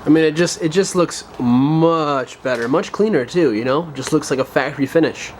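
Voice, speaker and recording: Excitable young man in a garage. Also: on the high side, normal speed, background noise. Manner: excited, slangy, talks to viewers as "you guys". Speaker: car build vlogger. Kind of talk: casual garage chat to camera.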